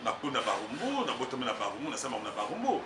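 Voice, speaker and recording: Fast, emphatic Congolese man, distant and noisy. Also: mid-range voice, huge pitch swings. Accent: Congolese French. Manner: emphatic, rambling, mixes in Lingala. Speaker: political candidate, party communications secretary. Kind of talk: street interview. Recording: roomy, distant mic, noisy background.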